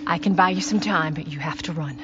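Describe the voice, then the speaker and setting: A woman's voice: low voice, brisk, natural voice. An actress as a tough, stubborn woman in middle age from a dramatic video game. She speaks bluntly and insistently, with raw desperation. The sound is a little muffled, with faint noise behind her. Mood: urgent, pleading. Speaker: voice actor. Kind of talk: naturalistic dramatic game acting.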